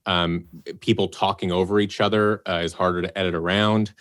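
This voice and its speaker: A man with a lowish voice, recorded dry and close. He talks casually as a director explaining his craft, often acting out little examples as he goes. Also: level tone, brisk, a bit bright, no room sound. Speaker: audio drama director and voice actor. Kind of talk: casual interview talk.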